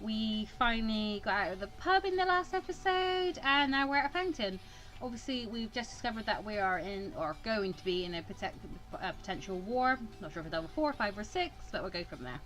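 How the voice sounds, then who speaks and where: A woman's voice: medium pitch, lively intonation, fairly quick, a bit bright, faint music behind, poor audio. A woman hosting a casual let's play, reading a visual novel aloud and often tripping over words and correcting herself. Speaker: gaming video host.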